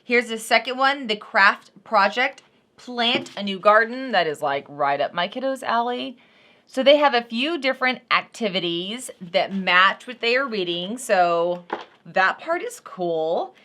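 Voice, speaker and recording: A woman's voice: on the high side, lively intonation, a little slow, sharp tone. A woman in her thirties giving a casual, unscripted product review as a vlog host, talking to viewers in an informal, folksy way.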